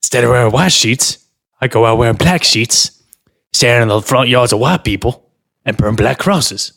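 A man playing a bumbling, halting street performer. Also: medium pitch, gravelly, a bit bright. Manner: halting, stammering, full of filler sounds. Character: bumbling hesitant man promoting street-corner one-man show. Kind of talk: improvised comedy interview.

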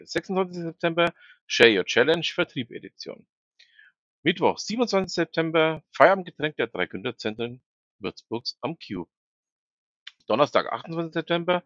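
A man's voice: fairly high pitch, sing-song, moderate pace, fairly bright tone, dry recording, muffled recording. A man hosting a regional news podcast, reading items with informal asides to listeners, his speech a bit slurred from a numb mouth after the dentist. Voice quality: slightly slurred articulation. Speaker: newsletter podcast host.

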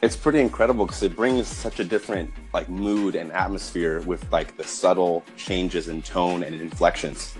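A man's voice: low voice, fairly even intonation, normal speed, few pauses, darkish tone, faint music behind. A man hosting an interview podcast, keen and admiring, often saying wow. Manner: enthusiastic, admiring, many wows. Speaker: podcast host. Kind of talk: casual interview chat.

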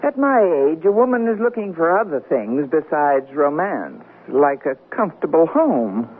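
A woman's voice: deep voice, very animated, normal speed, husky, exaggerated voice. A woman playing a practical, teasing middle-aged newlywed wife in a radio comedy, with a dry delivery. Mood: dryly practical. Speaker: actress. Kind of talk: 1970s radio comedy acting. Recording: narrowband 1970s radio recording, faint hiss.

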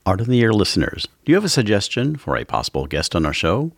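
A male co-host of a public radio arts show, chatty and relaxed, with many filler words. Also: on the low side, fast, no echo, clean studio sound.

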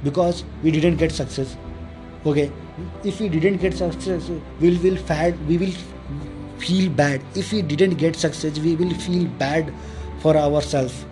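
A man from India with an Indian accent and Hindi as his first language gives an informal motivational self-help monologue as a podcast host, repeating and listing his points in halting English. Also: a little high, steady tone. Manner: repetitive, emphatic listing of points; halting, non-fluent English. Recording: music playing behind the voice. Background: clear background music.

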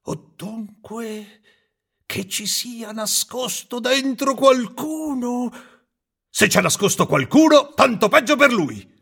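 A man's voice: very high-pitched, sing-song, measured pace, pushed and bright, slightly rough, voice pushed up, full character voice. A man voicing a frightened, blustering old carpenter who stammers and talks to himself in a storybook reading. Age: older.

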